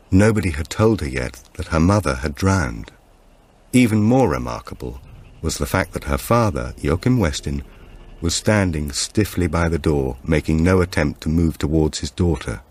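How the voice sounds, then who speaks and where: A man reading a suspense thriller as an audiobook narrator, in a measured, scripted way. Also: a bass voice, expressive, darkish tone, breathy edge. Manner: measured, acts out dialogue.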